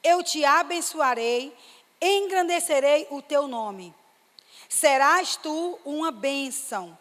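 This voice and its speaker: High-voiced woman preaching in a hall. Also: slow. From Brazil, Fortaleza. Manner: emphatic, builds to excited exclamations. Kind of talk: sermon. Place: church. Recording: reverberant church hall.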